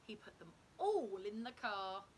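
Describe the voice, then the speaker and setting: A middle-aged woman reading a children's story aloud like a teacher, with deliberate, emphatic storytelling for young children. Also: mid-range voice, very animated, a little hiss or hum. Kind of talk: expressive picture-book read-aloud.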